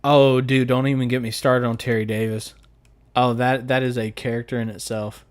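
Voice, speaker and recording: Man, Southern American accent, mid-pitched voice, informal joking streamer, dry recording. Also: level tone, normal speed, clean tone, no room sound. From United States. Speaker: live streamer. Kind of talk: casual live stream chat, reading and answering comments.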